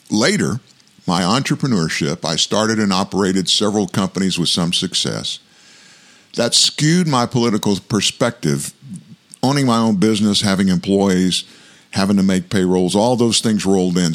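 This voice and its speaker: Sixties male host, deep booming voice, reads reflective scripted commentary at a steady pace. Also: creaky. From South Louisiana, US. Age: 60s. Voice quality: big voice. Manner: measured, reflective delivery. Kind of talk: scripted political commentary monologue.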